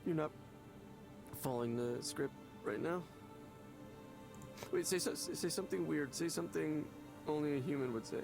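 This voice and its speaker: An actor playing a desperate, lonely, emotionally broken man in a video game, speaking in a creaky, hesitant, stammering way. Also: fairly high pitch, moderate pace, long pauses, over music. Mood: anxious disbelief. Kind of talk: emotional dramatic game acting.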